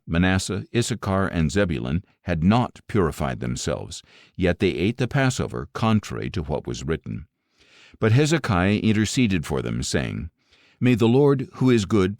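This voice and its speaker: A man narrating scripture from a script as an audiobook reader, solemn and measured, with clear enunciation. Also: deep voice, a little mellow, no echo, clean studio sound.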